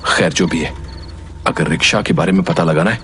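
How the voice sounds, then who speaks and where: A man's voice: low-pitched, brisk, music in the background, lightly acted. A man voicing an earnest, curious young male reporter in a cartoon story, often thinking aloud. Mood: puzzled, determined.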